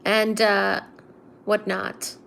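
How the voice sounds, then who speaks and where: A young woman from India hosting a casual livestream, chatting with viewers and reading their comments aloud in a relaxed, low-energy mood. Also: extremely slow, vocal fry, slight background noise. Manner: chatty, teasing, reads chat aloud and answers it. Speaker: livestream host. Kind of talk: casual live-stream chat with viewers.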